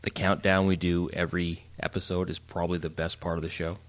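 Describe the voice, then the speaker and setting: A man's voice: deep voice, level tone, fairly quick. A middle-aged man co-hosting a podcast from home, chatting casually, joking and rambling with restarts. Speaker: podcast co-host. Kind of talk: casual chat. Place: home, Germany.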